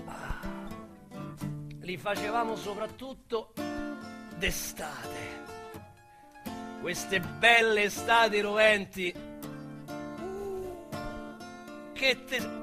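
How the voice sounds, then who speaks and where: An actor with a Roman accent playing a young, boastful, foul-mouthed street criminal in a radio drama monologue, with coarse slang and a swaggering delivery. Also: male voice, high voice, animated, very slow, gravelly, long pauses, music in the background, heavily acted. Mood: intense. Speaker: narrator and lead character.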